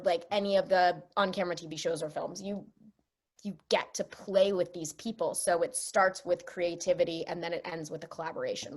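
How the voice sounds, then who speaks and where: Chatty, animated woman in an interview. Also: fairly low pitch. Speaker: interview guest, voice actress. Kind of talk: casual interview chat.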